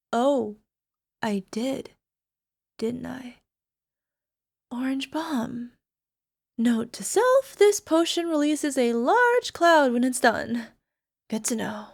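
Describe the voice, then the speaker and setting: Casting: actress for a young female alchemist, sweet obsessive girlfriend type; high, unhurried, hesitant and giggly, for ASMR roleplay audio. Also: exaggerated voice. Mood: focused, sheepish. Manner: giggly, hesitant, sighing, slipping into whispers.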